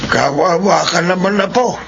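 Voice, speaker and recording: A man's voice: high-pitched, normal speed, vocal fry. An actor playing a concerned, kindly old grandfatherly man in a radio drama, speaking in folksy, sympathetic interjections. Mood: concerned, pitying. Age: older. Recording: dull noisy radio broadcast with ambience.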